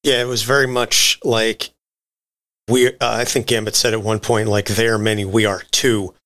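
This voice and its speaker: A man's voice: fairly low pitch, level tone, normal speed, fairly bright tone. A man chatting casually as a podcast panelist, explaining his take in colloquial language. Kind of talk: casual chat, reviewing a cartoon.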